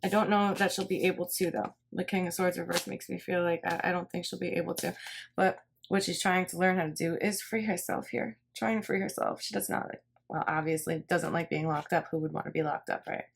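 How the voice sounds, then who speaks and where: A woman's voice: fairly low pitch, fairly even intonation, fairly quick. A woman giving a casual tarot reading, thinking aloud in a rambling way and often repeating her phrases. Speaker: tarot reader. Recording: clear background noise, card shuffling and clicks.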